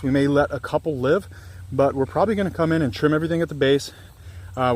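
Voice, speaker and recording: A man in his thirties, an organic farmer, chatting candidly in a rambling, self-deprecating way while filming himself outdoors on his farm. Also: medium pitch. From Louisiana, US. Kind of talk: casual farm vlog. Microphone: handheld camera at arm's length.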